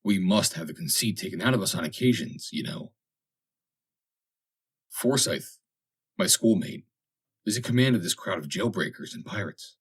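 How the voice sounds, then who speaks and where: A man's voice: on the low side, animated, moderate pace, pauses often, husky. A man reading an audiobook, playing a proud wounded young navy lieutenant who speaks with pained, agitated feeling. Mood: pained irony. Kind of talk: dramatic audiobook character voice.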